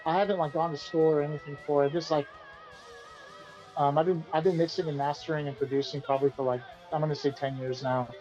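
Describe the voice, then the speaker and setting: A man, the band's singer and guitarist, answering interview questions in a relaxed, rambling way and often repeating himself. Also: on the high side, quick pace, muffled recording, soft background music. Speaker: band vocalist and guitarist being interviewed.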